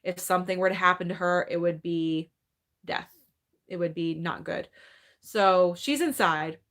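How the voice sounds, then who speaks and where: A woman's voice: on the low side, unhurried, a bit bright. A woman in her thirties hosting a casual live movie Q&A at home, chatty and upbeat, reading viewer comments and thinking aloud. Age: 30s. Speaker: movie channel host.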